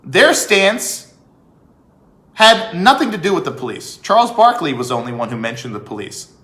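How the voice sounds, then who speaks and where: A man giving an emphatic opinion monologue as a vlog host, with rhetorical questions and deliberate pauses. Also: on the high side, sing-song, bright, forceful tone. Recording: echoey room.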